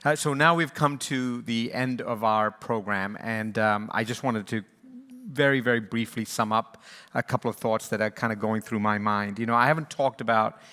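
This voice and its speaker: A man's voice: mid-range voice, fairly even intonation, moderate pace, bright, forceful tone, clean tone, big-room sound. A man moderating a panel and giving closing remarks, earnest and reflective, with frequent restarts. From born in Sri Lanka, raised in East and West Africa. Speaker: panel moderator, conservation charity leader.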